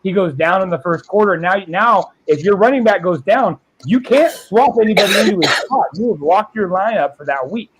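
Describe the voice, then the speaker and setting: A man's voice: sits high, animated, fairly quick, few pauses, rough recording. A man chatting casually on a livestream, explaining things at length with some restarts. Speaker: livestream participant. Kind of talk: casual chat.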